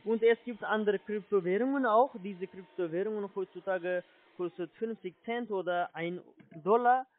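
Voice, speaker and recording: A man with a foreign accent, not a native German speaker, giving a halting phone sales pitch and often checking he is followed. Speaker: telephone sales agent, scammer. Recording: muffled low-fidelity phone line.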